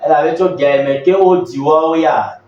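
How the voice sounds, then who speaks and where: A man interpreting a sermon phrase by phrase, echoing each line quickly. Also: a little high, fairly even intonation, darkish tone. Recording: distant voice in a very echoey hall, muffled.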